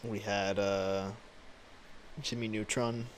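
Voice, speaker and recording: A man's voice: fairly low pitch, level tone, slow, a little hiss or hum. Young American male podcast co-host, casual, opinionated and unfiltered, free with swearing. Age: young adult. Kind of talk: casual chat.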